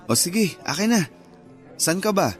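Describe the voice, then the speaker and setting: A man's voice: high-pitched, big pitch swings, unhurried, faint music behind. A man acting a friendly, earnest young suitor with a Filipino accent in a radio romance drama. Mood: easygoing.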